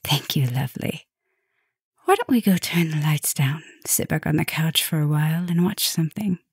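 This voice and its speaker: A woman playing a tender, gently dominant vampire professor in an intimate ASMR roleplay, speaking softly and soothingly, often slipping toward a whisper. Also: low voice, no echo, clean studio sound, exaggerated voice. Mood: relaxed, flirty. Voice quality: soft, breathy, often dropping to a whisper. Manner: slow, soothing, affectionate murmuring with pauses.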